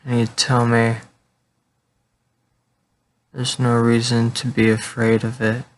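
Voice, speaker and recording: A man playing a troubled character with memory loss in an analog horror monologue, halting and creaky-voiced. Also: mid-range voice, completely flat delivery, close to an everyday voice. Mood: uneasy.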